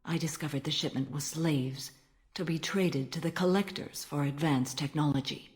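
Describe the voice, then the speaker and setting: Woman playing a low-voiced, austere alien warrior-monk. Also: fairly even intonation, darkish tone, lightly acted. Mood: solemn recollection. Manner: formal, measured, solemn. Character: stern, ancient female alien warrior-monk, calm and austere. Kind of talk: dramatic video game dialogue.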